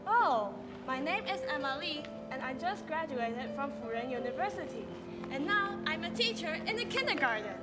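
A woman's voice: high voice, big pitch swings, normal speed. A young woman playing a kindergarten teacher in a school stage skit, speaking polite, recited English. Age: young adult. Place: large auditorium stage. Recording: hall reverb with music behind.

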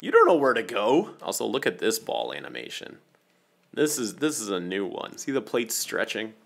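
Deep-voiced young man, casual commentary. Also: wildly swooping pitch, normal speed, creaky, a bit bright, faint music behind. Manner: analytical, musing, conversational. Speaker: host of an animation reaction channel. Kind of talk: casual running commentary on a cartoon. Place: small room indoors at a computer.

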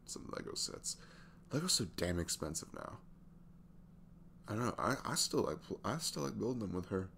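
A man acts out an easygoing, tired single dad in an intimate ASMR roleplay. His voice is extremely low, with heavy vocal fry and lively swings in pitch. He chats softly and hesitantly, rambling along in a sweet, casual way. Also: a little hiss or hum, heavily acted. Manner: hesitant, rambling, soft-spoken.

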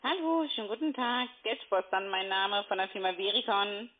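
A woman's voice: mid-range voice, lively intonation, normal speed. Woman telemarketer on a tinny phone line. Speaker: telemarketing caller. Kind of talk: unscripted sales call.

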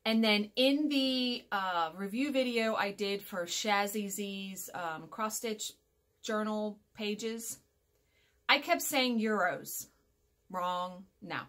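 A woman's voice: mid-range voice, takes it slowly, a bit bright. A woman in her forties chatting casually to viewers in a rambling, emphatic way, often correcting herself. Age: 40s. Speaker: vlogger. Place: small furnished room at home, indoors.

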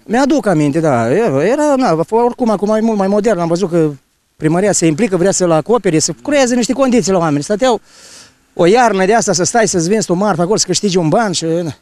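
A man's voice: high-pitched, animated, a little mellow, no echo. A man in his sixties giving plain-spoken, conversational answers in a street interview on a handheld microphone. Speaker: passer-by interviewee. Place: outdoors on a snowy street. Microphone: reporter's handheld microphone.

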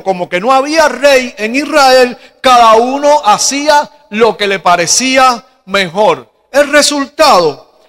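A middle-aged Cuban pastor preaching in a very high, bright voice at a slow, measured pace. He talks into a handheld mic and leans on rhetorical questions. The large church hall adds a long reverb behind him. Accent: Cuban Spanish. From Cuba. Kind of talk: sermon.

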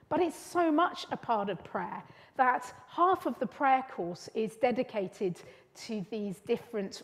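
A middle-aged woman giving a sermon at a church lectern, speaking in an earnest, gentle teaching manner with measured pauses. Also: on the high side. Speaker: preacher leading a church service. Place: church hall. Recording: long church reverb.

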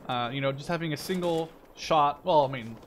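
A man's voice: a little high, brisk, slight background noise. A man giving casual, rambling gameplay commentary, informal and given to mild swearing. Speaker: gamer and video host.

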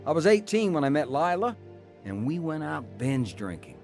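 A man's voice: a little high, sing-song, normal speed, a little mellow, faint music behind. An actor playing a rebellious, hard-drinking young man in a radio drama testimony. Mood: reflective. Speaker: dramatised testimony narrator and lead. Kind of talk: radio drama narration and acting.

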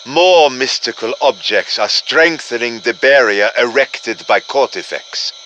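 An actor playing a grave, commanding professor of the occult in a video game, speaking in an urgent, formal, theatrical manner. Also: male voice, medium pitch, bright, forceful tone, a little hiss or hum, exaggerated voice, rough recording. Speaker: mission-giving game character. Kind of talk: dramatic video game voice acting.

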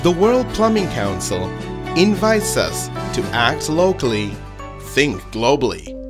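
A man's voice: a little high, sing-song, measured pace. A man reading scripted documentary narration in a solemn, emphatic manner. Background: music.

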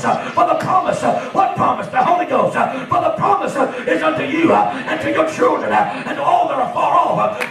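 A man's voice: very high voice, gravelly. Male Pentecostal preacher, fifties, fervent and emphatic, distant heavily echoing church sound. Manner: fervent, emphatic, punctuated with amens. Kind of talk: Pentecostal sermon. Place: church sanctuary, at and around pulpit. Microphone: handheld microphone. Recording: very echoey church hall, from far off.

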